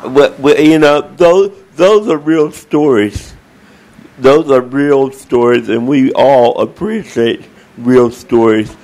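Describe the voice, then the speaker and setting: Man with a booming, mellow voice. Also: slow, low-quality recording. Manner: repeats words and restarts phrases. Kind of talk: group discussion.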